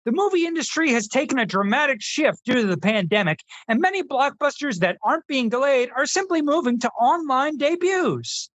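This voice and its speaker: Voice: sits high, animated, brisk, hardly any pauses, fairly bright tone, dry recording. An actor playing a sarcastic, know-it-all film critic in their twenties in a scripted comedy radio skit. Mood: snarky. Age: 20s. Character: snarky, earnest twenty-year-old Zoomer film critic.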